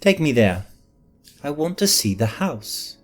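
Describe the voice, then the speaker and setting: A man's voice: mid-range voice, very animated, measured pace, soft background music. A man voicing a greedy, haughty nobleman in an audio drama, speaking in a formal, mannered way. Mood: prying. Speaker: voice actor in a fan audio drama.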